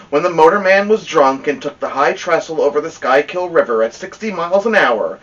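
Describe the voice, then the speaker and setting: Man reading a story, swooping expressive pitch. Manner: dramatic pauses, drawn-out emphasis. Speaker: story-time host reading a children's novel aloud. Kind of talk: expressive read-aloud storytelling. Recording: dull lo-fi sound, distant from mic.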